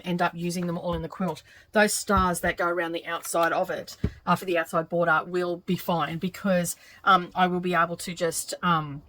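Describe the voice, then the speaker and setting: Middle-aged woman, lower voice, slightly croaky, rambling craft-vlog host with a faint noise floor. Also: bright, forceful tone, rough recording. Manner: chatty, thinking aloud with many ums. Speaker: quilting channel host. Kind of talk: casual craft vlog narration while working.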